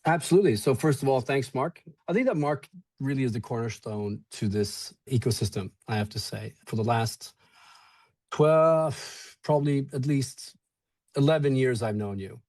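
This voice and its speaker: A man with a mellow, soft-edged voice talks with easy enthusiasm, drifting from one thought to the next. The sound is dry and close, as on a video call. His speech is middle-pitched and relaxed. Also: moderate pace, dry recording. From Sweden. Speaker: event organizer guest. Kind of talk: casual webinar chat.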